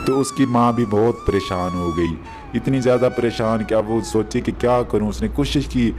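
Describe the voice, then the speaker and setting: A man's voice: medium pitch, moderate pace. A man narrating a moral story for children as a storyteller. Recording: music behind the voice.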